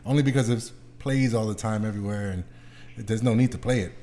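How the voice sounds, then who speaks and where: A man's voice: lowish voice, fast, mellow. A man chatting casually as a podcast host in a conversational way.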